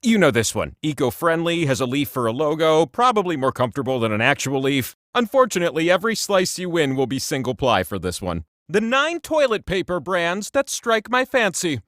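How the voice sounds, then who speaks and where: Sentient quiz wheel for a comedy game: high, swooping voice, theatrical, cheeky, easily peeved. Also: male voice, big pitch swings, brisk, few pauses, fairly bright tone, no room sound, studio quality. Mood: bemused, wry. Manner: theatrical, punchy delivery with sardonic asides. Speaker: quiz show host. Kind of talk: comedic video game voice acting, quiz patter.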